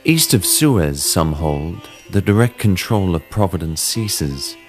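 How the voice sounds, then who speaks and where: Very deep-voiced man narrating a horror story. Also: lively intonation, slow pace. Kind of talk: dramatic reading of a classic horror story. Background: sitar music.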